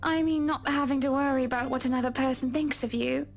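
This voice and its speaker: A woman voicing a gentle, polite young wife in a game who speaks softly with quiet regret. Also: high voice, fairly even intonation, fast. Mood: wistful. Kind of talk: voiced video game dialogue.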